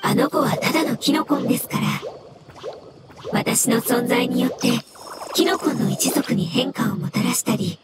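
A woman voicing a gentle, polite elemental creature in a video game, speaking in measured, formal phrases. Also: a little high, heavy background noise, lightly acted. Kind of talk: scripted game dialogue.

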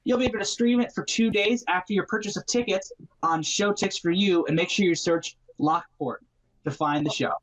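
A young man chatting casually as a guest in a deadpan way. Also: high-pitched, normal speed. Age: young adult. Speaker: student director and guest. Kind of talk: casual chat. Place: indoor room at home, video call. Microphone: video call. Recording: muffled video-call audio.

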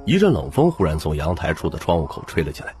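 A man's voice: very deep voice, huge pitch swings, fast talker, darkish tone, soft background music. A man narrating a novel in the first person as a scripted audiobook.

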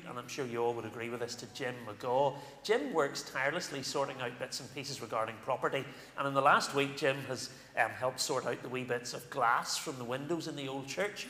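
Minister in an echoey church. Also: male voice, medium pitch, slight background noise. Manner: warm pulpit delivery with pauses and fillers. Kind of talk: church announcements and call to worship. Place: large church sanctuary, indoors. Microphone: stand microphone at a lectern. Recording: echoey church hall from a distance.

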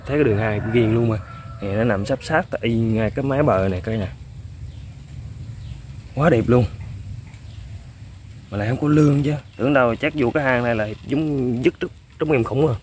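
Excitable man outdoors. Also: mid-range voice, brisk, long pauses, slight background noise. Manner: excitable, exclaims often, chuckles. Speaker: fishing vlogger. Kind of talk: casual outdoor commentary to viewers. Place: outdoors by a riverbank.

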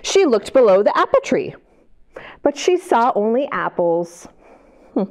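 A middle-aged American woman reads aloud to young children as a storytime host. Her voice is high and swoops widely, with a slow, deliberate pace. She is expressive and sing-song, often putting questions to her listeners. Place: indoor library children's area. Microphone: lapel mic.

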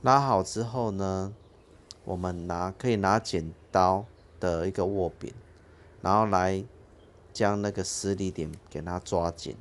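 A man's voice: deep voice, a little slow. A man hosting a fishing channel, explaining his rig step by step in a plain casual way outdoors at a harbour. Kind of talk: casual how-to narration.

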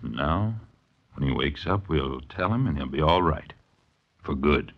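A authoritative psychiatrist from a 1940s radio play. His voice is low and clinical, reassuring yet firm. The recording is dull and aged. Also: lively intonation, a little slow, exaggerated voice. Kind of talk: 1940s radio drama acting.

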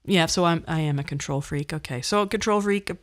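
A woman in her fifties with a fairly low voice hosts a podcast monologue. She talks conversationally about her own life, with a wry, self-deprecating touch. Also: fast talker. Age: 50s. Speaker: podcast host. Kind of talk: personal storytelling monologue.

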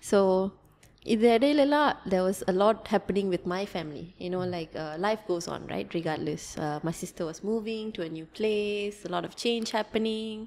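A young woman, chatting as a podcast guest, fast and animated, mixing Tamil and with lots of fillers. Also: mid-range voice. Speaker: tour guide guest. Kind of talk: casual podcast conversation. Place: small indoor room at a table. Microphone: desk podcast mic.

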